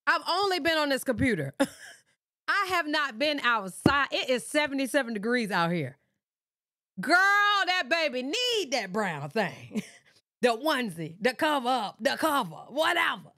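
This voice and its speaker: A woman in her thirties hosting a livestream, reacting and talking straight to her viewers in a sassy, animated way. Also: high voice, measured pace, no room sound, clean studio sound. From Michigan. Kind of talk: casual livestream reaction commentary. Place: bedroom streaming setup with pink lights. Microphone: desk mic in front of her.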